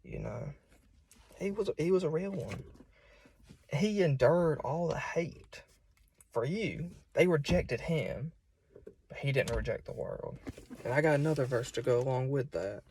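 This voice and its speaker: A man's voice: fairly high pitch, expressive, unhurried, long pauses, a little mellow, a little hiss or hum. A young man with a Southern US accent, preaching in an informal, folksy and conversational way. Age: young adult. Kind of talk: informal sermon. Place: solo self-recording.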